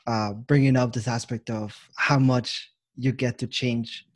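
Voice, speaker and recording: A man hosting a podcast and interviewing a guest in a relaxed way, with many fillers and "right?"s. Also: medium pitch, measured pace, no echo. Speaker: podcast host.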